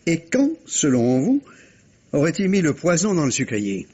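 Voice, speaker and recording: An actor playing a wry police inspector in a vintage French radio mystery, measured and theatrical, explaining a case with teasing rhetorical questions. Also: male voice, a little high, wildly swooping pitch, a little mellow, slightly rough, full character voice. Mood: teasing, probing. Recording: dull, muffled vintage radio recording.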